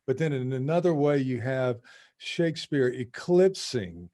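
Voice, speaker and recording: A man from the American South hosting an interview, asking long, rambling, animated questions. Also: mid-range voice, measured pace. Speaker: podcast host. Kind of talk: conversational interview.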